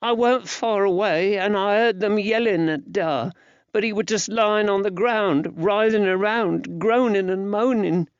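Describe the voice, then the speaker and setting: A man's voice: high voice, a little slow, clear, resonant tone, dry recording, highs cut off, pitched above the performer's own voice. A man voicing a frightened, grieving working-class boy who speaks plaintively in an old-fashioned rustic dialect. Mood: guilty, distressed.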